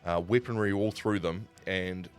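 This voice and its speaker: Low-voiced New Zealand man telling stories. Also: fairly even intonation, moderate pace, clean tone, soft background music. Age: 40s. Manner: rambling, conversational, self-correcting. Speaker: streamer and broadcaster. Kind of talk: casual live-stream storytelling.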